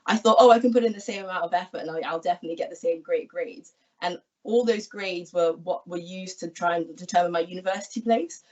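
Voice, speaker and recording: Young woman from England, career guest, slightly low voice, dull distant webcam sound. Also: fast talker, a little mellow. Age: 20s. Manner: friendly, explanatory, gestures while talking. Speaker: equity research analyst guest. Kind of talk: online careers talk. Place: small room at home, indoors. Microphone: laptop webcam video call. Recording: distant, dull video call audio.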